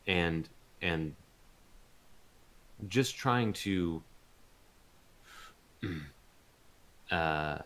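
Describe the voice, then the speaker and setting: A man chatting casually as a voice actor being interviewed, thoughtful and halting as he searches for words. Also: sits low, a bit bright, rough recording.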